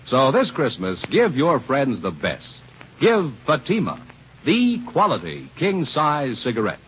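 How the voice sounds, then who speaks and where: A man's voice: wildly swooping pitch, a little slow, fairly bright tone. An American man reading a 1950s radio advertisement as an emphatic commercial announcer. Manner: emphatic sales pitch. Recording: dull, noisy old radio recording.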